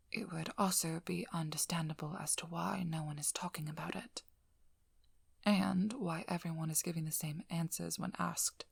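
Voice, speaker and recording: Woman doing a devious vampire hunter lady for asmr roleplay, blunt n dry, soft breathy talk w lots of creak, super dry close recording. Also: lowish voice, no room sound, full character voice. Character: devious, sharp-tongued female vampire hunter, shrewd and blunt. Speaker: ASMR role-play performer. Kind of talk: ASMR character role-play, speaking softly to the listener.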